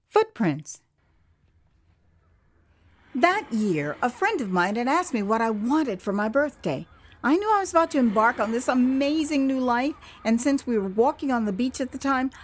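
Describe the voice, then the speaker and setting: An American woman narrating a dramatised memoir in a theatrical, expressive storytelling manner. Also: on the high side, moderate pace, a little hiss or hum. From United States, Houston. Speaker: author and storyteller.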